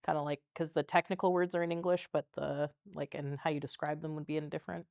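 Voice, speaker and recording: A woman in her thirties hosting a school podcast, chatting casually and giving steady encouraging backchannels, recorded on a lapel mic in a small studio. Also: low-pitched, quick pace, gravelly, no echo. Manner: encouraging, frequent "mm-hmm" backchannels. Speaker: podcast host, teacher. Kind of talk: casual interview chat.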